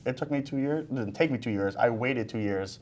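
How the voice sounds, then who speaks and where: A man's voice: medium pitch, fairly quick, a little mellow. Easygoing, jokey male show host. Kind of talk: casual panel chat. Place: TV studio, seated at desk.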